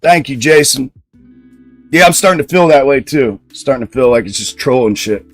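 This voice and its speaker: A man hosting a live stock trading stream, talking with a loud speaking voice and answering viewers bluntly. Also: medium pitch, expressive, moderate pace, no room sound, soft background music. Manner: blunt, answers chat comments directly. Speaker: stock trading livestream host. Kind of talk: casual livestream commentary.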